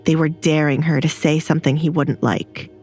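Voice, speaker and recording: Low-toned woman narrating a story. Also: level tone, moderate pace, a little mellow, soft background music.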